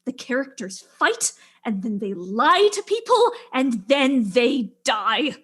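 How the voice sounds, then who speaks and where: A woman's voice: fairly high pitch, sing-song, slow pace, fairly bright tone, breathy edge, full character voice. A woman playing an indignant, haughty widow in a stage-style mystery, with a dramatic, scornful, emphatic delivery. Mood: scornful ranting.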